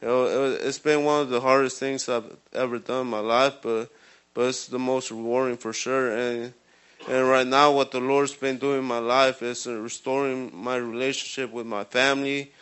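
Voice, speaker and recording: A man in his twenties from Guatemala, giving a halting personal testimony with many fillers and restarts. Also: mid-range voice, little pitch movement, fairly bright tone, clear, resonant tone. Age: 20s. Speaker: ministry program resident giving testimony.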